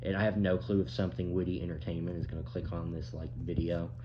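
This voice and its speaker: A young man with a low voice gives casual reaction commentary. He complains in a halting, repetitive way, circling back on his phrases. The sound is dull, with clear noise behind him. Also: level tone.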